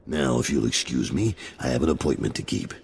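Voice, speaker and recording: An actor playing a blunt, gruff gangster boss in a video game. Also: male voice, extremely low pitch, expressive, a little fast, big-room sound, heavily acted.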